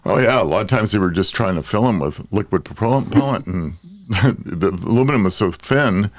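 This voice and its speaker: A man's voice: lowish voice, lively intonation, normal speed, no echo. An older man with an American accent, hosting a casual podcast panel chat. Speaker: podcast host.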